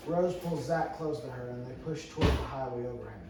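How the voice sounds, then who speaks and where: A man's voice: mid-range voice, a little fast. A man reading stage directions aloud at a script table read, as narrator. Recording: very echoey room, distant mic, noisy.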